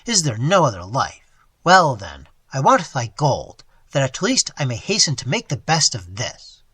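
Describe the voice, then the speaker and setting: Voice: medium pitch, wildly swooping pitch, unhurried, a bit bright, breathy edge, no echo, heavily acted. A reader voicing a cold young male robber who speaks with hard, mocking scorn. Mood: bitter scorn.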